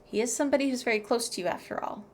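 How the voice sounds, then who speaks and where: A woman's voice: a little high, rapid-fire, very noisy. A woman streamer giving casual gameplay commentary and reading choices aloud. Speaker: streamer playing a game.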